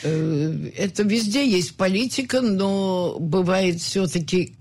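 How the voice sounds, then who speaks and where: A woman's voice: fairly low pitch, a little mellow, slight background noise. Older woman, pianist, soviet-born, rambly interview chat, lots of "uh"s. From Soviet Union. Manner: rambling, halting with many fillers. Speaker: guest, concert pianist. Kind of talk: reminiscing interview talk.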